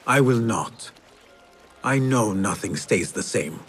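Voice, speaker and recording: An actor playing a young former street thief turned assassin in a video game, speaking in an earnest, measured, courteous way. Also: male voice, fairly low pitch, expressive, faint music behind. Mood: earnest, reflective. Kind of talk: video game character acting.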